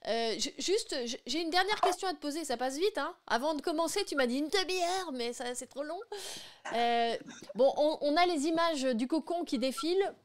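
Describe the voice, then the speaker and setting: A woman hosting an informal live interview, talking in a loose, halting way and often interrupting herself. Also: high voice, animated, a little hiss or hum. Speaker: interviewer, live show host.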